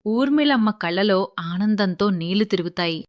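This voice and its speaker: Casting: woman storyteller for scripted moral tales, mid-range pitch, very dry recording. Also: lively intonation, normal speed, no echo.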